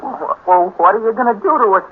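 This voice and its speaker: A man's voice: high voice, expressive, fairly quick, phone-quality sound. An actor playing an earnest, slangy teenage boy space adventurer in a 1950s radio drama. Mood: nervous. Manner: hesitant, deferential, earnest, slangy. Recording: thin, noisy 1950s radio recording.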